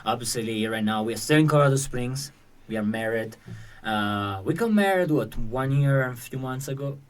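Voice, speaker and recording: A young Italian man hosts a relaxed podcast chat in English, his second language. He speaks in a middling voice with a clear Italian accent and often stops to restart a thought. He sits a little back from the mic. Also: medium pitch, normal speed, far from the mic. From Italy. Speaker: podcast host. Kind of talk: casual conversational chat.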